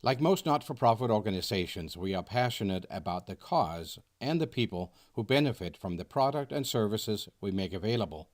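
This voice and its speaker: A man's voice: on the low side, expressive. Earnest man in his sixties. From Denmark. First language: Danish. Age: 60s. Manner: earnest, measured read-aloud delivery. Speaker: nonprofit founder presenting. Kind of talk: scripted first-person presentation narration.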